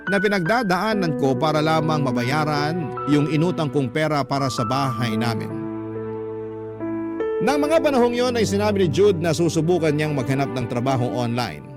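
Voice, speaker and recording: A man narrating a scripted radio drama letter in the first person, measured and sentimental. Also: fairly high pitch. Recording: loud music bed under the voice. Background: prominent music.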